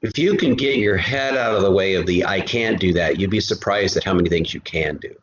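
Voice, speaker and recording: Low-voiced man in a roomy, noisy recording. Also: brisk. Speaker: guest, audio drama creator and musician. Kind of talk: casual interview chat. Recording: roomy, dull, noisy remote connection.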